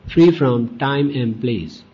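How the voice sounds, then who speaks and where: A male Buddhist teacher gives guided meditation instruction in short, deliberate phrases with long pauses. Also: mid-range voice, dark tone, slightly rough. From Myanmar. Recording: dull lo-fi recording.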